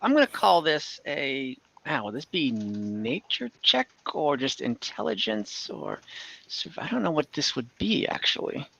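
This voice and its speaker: A man's voice: fairly high pitch, big pitch swings, measured pace, vocal fry, sharp tone, dry recording, muffled recording. A man improvising narration as game master of an online tabletop game, easygoing and loose with the rules. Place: online voice call, dry close sound.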